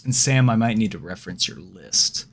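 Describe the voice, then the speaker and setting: A man's voice: lowish voice, animated, moderate pace. A man hosting a casual podcast chat, joking around and agreeing easily.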